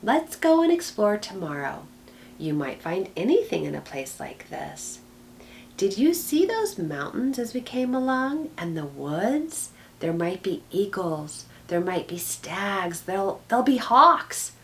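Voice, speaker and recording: A woman's voice: deep voice, big pitch swings, a little slow, gravelly, a little mellow, a little hiss or hum, full character voice. A woman reading as an eager schoolboy. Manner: read aloud plainly from a storybook. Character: eager confident English schoolboy, eldest brother.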